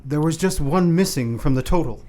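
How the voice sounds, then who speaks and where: An actor playing a practical, level-headed gentleman in a radio play. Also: on the high side, expressive, moderate pace, close to an everyday voice. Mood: matter-of-fact.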